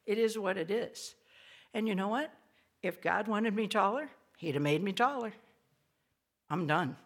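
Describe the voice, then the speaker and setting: An older woman with a raspy voice gives a chatty, self-deprecating devotional talk to an audience in a small old hall. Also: fairly low pitch. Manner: lively, chatty, self-deprecating preaching with quick asides. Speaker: speaker.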